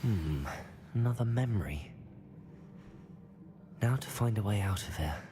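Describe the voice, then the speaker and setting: Curious young wizard, lively pitch. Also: male voice, a little slow, noisy background. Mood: curious musing. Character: young male student wizard exploring, curious and self-possessed. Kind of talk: video game voice acting, musing alone.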